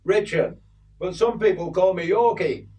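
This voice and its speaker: A man's voice: high-pitched, unhurried, darkish tone, far from the mic, pitched above the performer's own voice. A man voicing a polite young English farmhand from Yorkshire.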